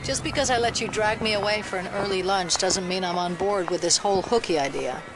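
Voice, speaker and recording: An actress playing a brisk, sceptical, no-nonsense federal agent in an audio drama at a busy fair. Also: female voice, medium pitch, natural voice, low-quality recording. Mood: dry reluctance. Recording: loud fairground crowd and music.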